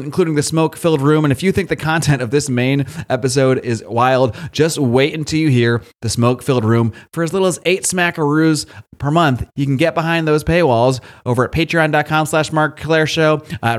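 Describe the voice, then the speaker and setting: Male podcast host, informal and chatty, conversational delivery. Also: a little high. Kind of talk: conversational podcast intro and interview.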